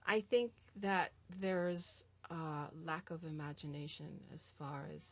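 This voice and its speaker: A woman art teacher with a Jamaican American accent, chatting as a guest in an animated way with lots of filler ums. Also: sits low, unhurried. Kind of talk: casual conversation.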